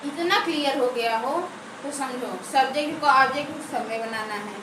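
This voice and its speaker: A woman teaching a grammar class, asking questions and answering them herself, dictating slowly. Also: sits high. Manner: asks and answers her own questions; slow and dictating. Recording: echoey room, mic far off, noisy.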